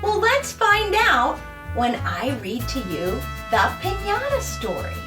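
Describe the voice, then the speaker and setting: A woman introduces a children's story in a lively, fairly high voice. She sounds a little away from the microphone, with clear music playing behind her. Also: takes it slowly. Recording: slightly distant mic, music behind.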